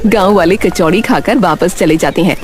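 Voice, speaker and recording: A woman narrator telling a scripted story in an expressive storytelling manner. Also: fairly low pitch, moderate pace, slight background noise. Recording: faint music behind the voice.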